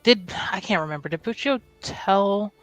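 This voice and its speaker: A woman's voice: mid-range voice, lively intonation, normal speed, no echo, soft background music, rough recording. A woman narrating her character in a tabletop roleplaying game, hesitant and thinking aloud with many fillers. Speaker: player.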